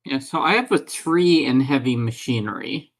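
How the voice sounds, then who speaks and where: A man's voice: medium pitch, animated, moderate pace. A man talking casually as a player in a tabletop roleplaying game.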